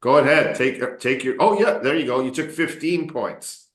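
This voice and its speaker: A man runs a casual English class over a video call, relaxed and encouraging, with an easy joking way with his students. His voice sits at an ordinary pitch for a man. The sound has a noticeable roomy echo. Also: normal speed. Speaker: English coach. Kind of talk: casual online English lesson.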